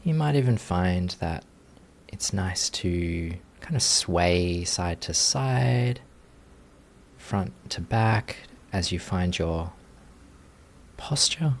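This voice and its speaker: A man with a low, gravelly-edged voice leads a guided meditation. He speaks very slowly and gently, leaving long pauses between short phrases. His delivery is inviting and unhurried, with fry in the tone. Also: lively intonation. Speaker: meditation teacher.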